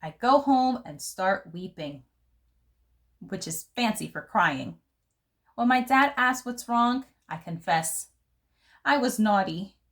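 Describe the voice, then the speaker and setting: A woman voicing a fancy, dramatic little girl who loves glamour, telling her story from a children's book in an expressive, theatrical way. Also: medium pitch, slow pace, long pauses. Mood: exhausted, dramatic. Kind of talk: first-person storybook narration for children.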